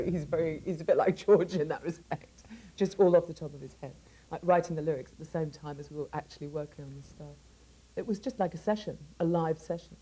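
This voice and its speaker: A middle-aged woman singer from England talking casually about her life in an interview, laughing often and letting her sentences trail off softly. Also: deep voice, a little hiss or hum, rough recording.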